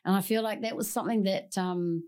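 A woman's voice: medium pitch, fairly even intonation, a little fast, darkish tone. A woman with a New Zealand accent, a singer-songwriter guest telling her story in an effusive, rambling way in a podcast studio. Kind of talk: casual interview chat.

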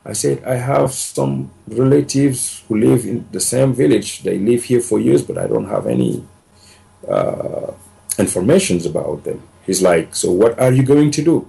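A man's voice: medium pitch, sing-song, a little slow, gravelly, warm tone, rough recording. A man, telling his story as a podcast guest in an earnest way, often repeating himself. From Senegal. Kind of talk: casual storytelling interview.

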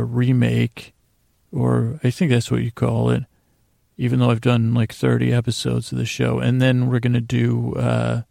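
A man hosting a sleep podcast in a soothing, rambling bedtime monologue, hesitant and meandering, with long pauses and stammered restarts and a gravelly voice. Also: medium pitch, darkish tone, no room sound. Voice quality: creaky, gravelly. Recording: faint music under a dry voice.

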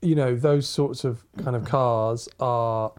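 Dry-witted man, mellow voice. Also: mid-range voice, fairly even intonation, takes it slowly, smooth. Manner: dry teasing, enthusiastic. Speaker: car specialist guest. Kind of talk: casual chat.

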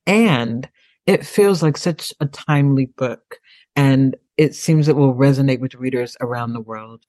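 A woman's voice: rumbling low, a little slow, dark tone, no room sound. A woman podcast host interviewing an author, gracious and posing long, layered questions.

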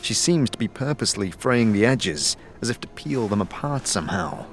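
A man's voice: on the low side, lively intonation, moderate pace, soft background music. A man giving scripted, storybook-like narration for a fantasy audio drama.

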